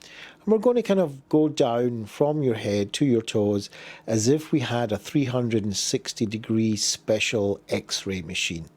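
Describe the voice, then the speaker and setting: A man with a mid-range voice guides a body scan meditation. He gives instructions gently and without hurry, pausing between short phrases as a meditation teacher does. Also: medium pitch, expressive. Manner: gentle, unhurried instruction with pauses.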